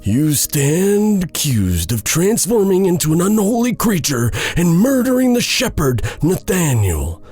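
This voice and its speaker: A man's voice: sits high, huge pitch swings, measured pace, hardly any pauses, darkish tone, breathy edge, faint music behind, pitched above the performer's own voice, heavily acted. A man voicing a stern, zealous village pastor who intones formally and commands as judge in a storybook tale. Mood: stern, accusing.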